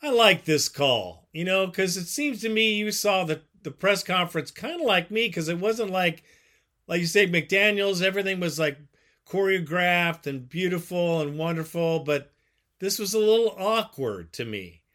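A man's voice: high voice, animated, normal speed, bright, forceful tone. A man hosting a call-in sports talk show, speaking in a folksy, emphatic way. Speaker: radio show host.

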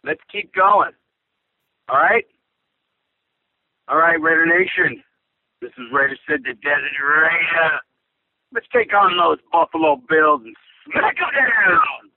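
Caller: man, loud and hyped, fairly high voice, tinny phone line. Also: animated, takes it slowly, pauses often, dry recording.

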